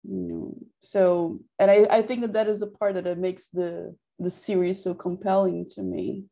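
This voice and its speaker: A middle-aged woman chatting casually over a video call in a halting, tentative way with many fillers. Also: on the low side, steady tone, vocal fry, warm tone. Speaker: audience participant. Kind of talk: casual discussion. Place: indoor room on a video call.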